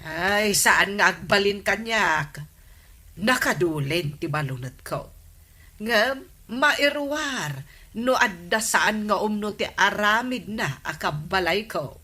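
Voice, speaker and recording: A woman's voice: mid-range voice, lively intonation, slow, sharp tone, full character voice. A woman playing an opinionated village gossip in an Ilocano comedy radio drama. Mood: disapproving.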